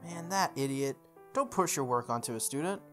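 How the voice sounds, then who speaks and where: A woman voicing a blunt, laid-back young shopkeeper who teases and cares gruffly, in visual novel dialogue. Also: very deep voice, animated, normal speed, soft background music. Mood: blunt, caring. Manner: blunt, teasing, casual.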